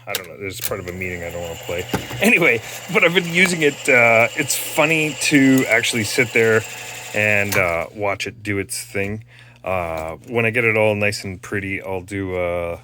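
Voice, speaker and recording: A man's voice: sits low, sharp tone, a little hiss or hum. A man from Canada giving a rambling, casual show-and-tell about restoring an old tape machine, stopping often with fillers and restarts. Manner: rambling, halting, lots of fillers.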